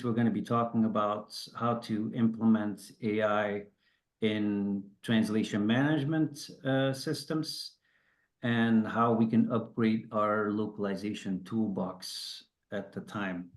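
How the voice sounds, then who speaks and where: A man from Belgium hosting an online industry discussion, chatty and self-deprecating, often restarting his sentences. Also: mid-range voice. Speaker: host.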